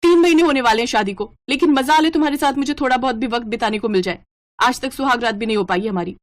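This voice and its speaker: A woman voicing a frustrated, sharp-tongued young new bride in a story, complaining and scolding. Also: on the high side, quick pace, close to an everyday voice. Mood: bitter, complaining.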